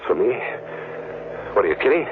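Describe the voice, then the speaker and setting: A man's voice: on the low side, very animated, brisk, noisy background. A man playing a haunted, jittery drifter in a 1940s radio drama, narrating in brooding, clipped phrases. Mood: nervous, agitated. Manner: brooding, clipped, nervous repetitions. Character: haunted, jittery drifter tormented by jungle memories. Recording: muffled vintage radio, loud dramatic music behind.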